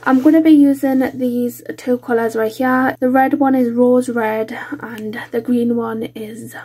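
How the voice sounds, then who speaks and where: Congested British woman, slightly husky high voice. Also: steady tone, a little slow, mellow. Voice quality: congested, nasal. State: bunged-up nose from a cold. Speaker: colouring channel host. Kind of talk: casual chatty craft vlog.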